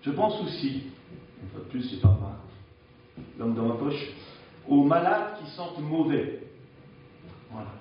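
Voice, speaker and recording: A man's voice: a little high, very animated, a little slow, pauses often. A man preaching a sermon in a frank, conversational way, often hesitating as he speaks, recorded in a church. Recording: echoey church, distant dull mic.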